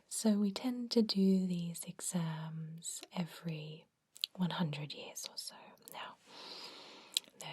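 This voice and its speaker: A woman whispering softly and reassuringly in an ASMR roleplay as a kindly vampire doctor. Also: fairly low pitch, slightly rough. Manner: soft, reassuring, unhurried whisper. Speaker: ASMR performer playing a doctor.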